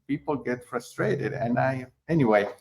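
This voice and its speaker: A middle-aged man from Argentina, a concert pianist being interviewed, who talks in a halting way with many fillers and restarts. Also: medium pitch, vocal fry, a little mellow. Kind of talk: conversational interview.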